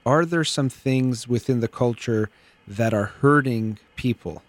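A middle-aged man hosting a call-in psychology radio show, explaining things in a calm, measured way. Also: medium pitch, no echo. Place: radio studio.

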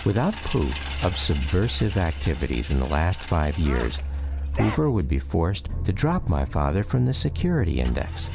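A man narrating a radio drama as a grown son recalling his father, in measured, reflective first-person storytelling. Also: deep voice, very animated, vocal fry, heavily acted. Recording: faint noise behind.

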